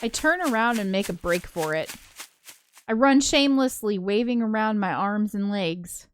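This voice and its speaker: A woman's voice: medium pitch, animated, moderate pace, a little hiss or hum. A woman reading a visual novel aloud, slipping into casual, chatty commentary with giggly asides. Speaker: co-host of a gaming show.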